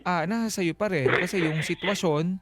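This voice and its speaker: A man's voice: high voice, moderate pace, heavy background noise. A man with a Filipino accent hosting a radio advice show, talking things through conversationally. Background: faint music.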